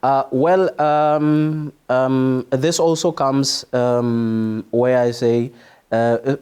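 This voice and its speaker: Mellow young South African man, explaining haltingly. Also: mid-range voice, steady tone, clean tone. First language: Sotho. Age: young adult. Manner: halting, explanatory, repeats for emphasis. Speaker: podcast guest, international student. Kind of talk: conversational interview answers.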